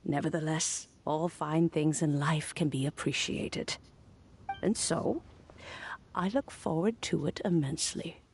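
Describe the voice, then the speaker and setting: A woman voicing a wise, kindly old lady in a video game, genteel and gently teasing. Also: low voice, a little slow, a little hiss or hum. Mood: wise, serene. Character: wise, kindly, good-humoured old lady, a village elder.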